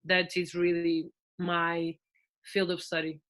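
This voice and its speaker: A woman's voice: lowish voice, completely flat delivery, slow pace, fairly bright tone. A Portuguese woman in her twenties with a Portuguese accent, a guest chatting casually on a podcast with frequent fillers and restarts. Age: 20s.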